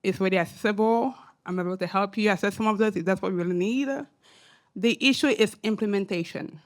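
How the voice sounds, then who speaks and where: A woman with an African accent speaks on a symposium panel in a mid-pitched voice. She is emphatic and animated, and she punctuates her points with rhetorical questions. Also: fairly quick. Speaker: panelist, academic and social entrepreneur. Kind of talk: panel discussion remarks.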